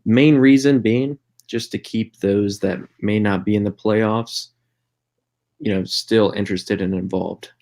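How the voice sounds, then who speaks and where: A man speaks in casual conversation as a podcast panelist. His voice is a little lower than average. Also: fairly even intonation, a little slow, a little mellow. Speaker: podcast panelist, league member. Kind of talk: casual chat.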